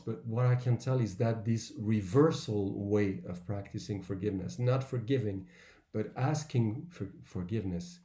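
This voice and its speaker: Dark-voiced man speaking in an echoey room. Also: fairly low pitch. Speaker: author and workshop speaker. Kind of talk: public talk with anecdotes. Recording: roomy, echoing sound.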